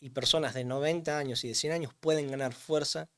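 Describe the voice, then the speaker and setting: Emphatic man, slightly high voice. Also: steady tone, brisk, a bit bright. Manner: emphatic, explanatory, repeats words for weight. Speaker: strength coach for older adults, guest. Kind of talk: interview answers.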